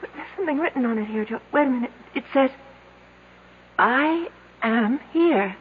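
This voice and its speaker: An actress playing a desperate young woman trapped in the dark, urgent and emotional, in radio drama style. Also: high voice, animated, normal speed. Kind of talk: 1970s radio drama acting. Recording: muffled, hissy old radio recording.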